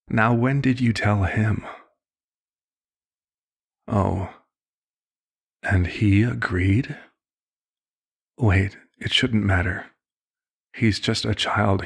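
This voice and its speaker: Male actor as fatherly vampire doctor for ASMR roleplay: low-pitched, gravelly, measured and formal, concerned therapist temperament. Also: full character voice. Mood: troubled, questioning.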